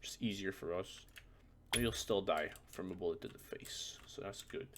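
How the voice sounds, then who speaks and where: A man presenting a casual software tutorial in an informal, hesitant way, thinking aloud as he goes. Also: low-pitched, moderate pace. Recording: noisy home recording with keyboard clicks. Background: background noise, computer keyboard and typing.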